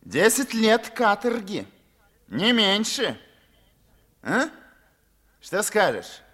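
A man's voice: high voice, very animated, drawn-out words, bright, forceful tone, heavily acted. A man acting a harsh gendarme officer who gloats over a captured deserter, heard from some distance. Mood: gloating. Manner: gloating, barking orders.

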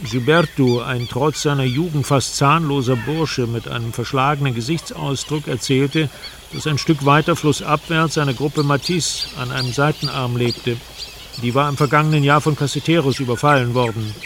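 A man's voice: mid-range voice, steady tone, moderate pace, background noise. A man reading scripted first-person travel narration for a radio drama.

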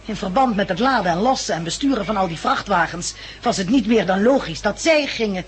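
A woman's voice: medium pitch, animated, a little fast, breathy edge. An actress in a 1960s radio drama playing a stern, principled woman in charge of a survivors' community, curt and prim. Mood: curt, defensive. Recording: noisy old 1960s radio recording.

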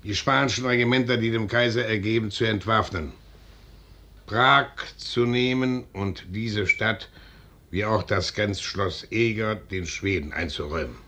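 An actor playing a guarded, stern Swedish envoy colonel in a classic German radio play, declaiming formally. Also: male voice, fairly low pitch, pushed and bright. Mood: firm, insistent. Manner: formal, measured declamation, rising to stern insistence.

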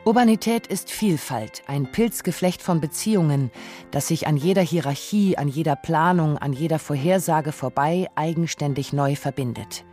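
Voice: medium pitch, normal speed, warm tone, faint music behind, close to an everyday voice. A narrator with reading a scripted, essayistic radio feature in a pointed, literary manner.

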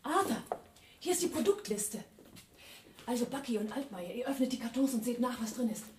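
An actress playing a cool, observant woman who narrates a crime radio drama in a slightly husky voice. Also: fairly high pitch, expressive, brisk, noisy background, natural voice. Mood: brisk, directing. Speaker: narrator and character in a radio play. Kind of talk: scripted first-person narration, 1980s radio drama.

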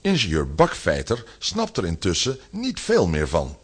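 A man's voice: low-pitched, huge pitch swings, measured pace, slightly rough. A man giving dramatic scripted narration as a storyteller.

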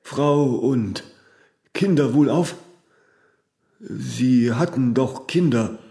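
A man's voice: medium pitch, drawn-out words, vocal fry, warm tone. An actor playing a cool, immaculate, aloof man in a radio drama, speaking with formal detachment and great deliberation. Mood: detached, courteous.

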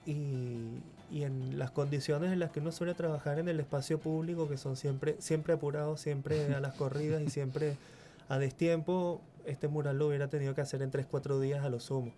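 A man's voice: fairly high pitch, steady tone, normal speed, hardly any pauses, dark tone, soft background music. A man in his forties, born in Chile, speaking as a guest muralist in a radio interview, often repeating words as he searches for them. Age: 40s. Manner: hesitant, repeats words while thinking.

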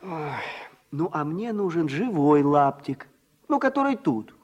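A man's voice: on the high side, very animated, unhurried, darkish tone, highs cut off. An actor playing an easygoing, slightly bemused wandering workman in a radio drama, speaking colloquially and musingly. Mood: friendly, bemused. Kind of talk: Soviet radio drama acting.

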